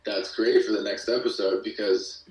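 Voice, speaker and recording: Echoey, low-voiced man chatting casually. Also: steady tone, moderate pace. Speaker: guest, musician and audio drama creator. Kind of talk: casual conversational interview. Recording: echoey room, distant from mic.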